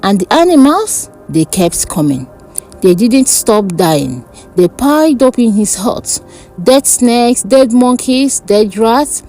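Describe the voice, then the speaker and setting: A woman narrating a dark fantasy folk tale in a dramatic, deliberate way. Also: on the high side, big pitch swings. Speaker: storyteller. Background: background music.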